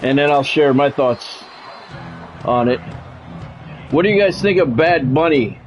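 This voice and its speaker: A man's voice: on the high side, animated, faint music behind, poor audio. A man live streaming a football video game, mixing casual, blunt chat with play-by-play and often repeating his words as he goes. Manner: halting, repeats words and phrases.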